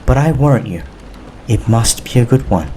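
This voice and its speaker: A man voicing a firm, commanding female Prime Minister in an audiobook, speaking with measured authority. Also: mid-range voice, lively intonation, warm tone, a little hiss or hum, voice pushed up, close to an everyday voice, low-quality recording. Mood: decisive. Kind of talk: audiobook character dialogue.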